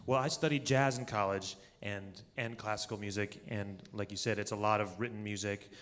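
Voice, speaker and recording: A man's voice: fairly low pitch, steady tone, normal speed, a bit bright. A male musician speaking as an interview guest in an informal, earnest, conversational way, recorded live on stage. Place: stage of a live radio show. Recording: live hall with long reverb.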